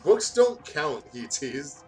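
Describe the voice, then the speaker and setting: A man reads fan fiction aloud with a lot of swing in his pitch. He is casual and jokey, breaking into asides and stumbling over lines. Faint music plays behind him. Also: slow pace. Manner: animated, stumbles over words while reading. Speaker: let's play co-host reading fan fiction aloud.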